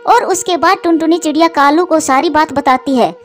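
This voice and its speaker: Female storyteller, high voice, scripted children's cartoon narration, music in the background. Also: moderate pace.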